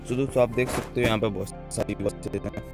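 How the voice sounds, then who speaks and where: A man's voice: lowish voice, steady tone, quick pace. A man presenting an app tutorial as a voice-over for a gaming channel. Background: background music.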